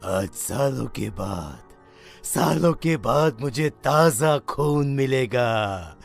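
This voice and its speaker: Cartoon horror villain: evil well spirit, very slow, menacing, heavy gravel in the voice. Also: male voice, mid-range voice, lively intonation, soft background music, heavily acted. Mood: gloating menace. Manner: slow, drawn-out menacing delivery. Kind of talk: animated horror story acting.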